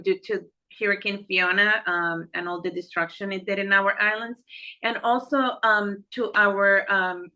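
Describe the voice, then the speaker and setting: A woman's voice: mid-range voice, pushed and bright. A middle-aged woman with a Puerto Rican accent, a native Spanish speaker, answers interview questions conversationally and earnestly, often repeating words as she goes. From Puerto Rico. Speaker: interview guest, neurologist and medical director. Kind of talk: conversational interview answers about life and work.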